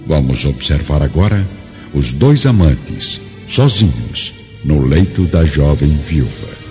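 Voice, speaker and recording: A man's voice: extremely low pitch, big pitch swings, slow pace, dark tone. A man reading scripted dramatic narration in a solemn, literary manner. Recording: dull hall reverb, music behind.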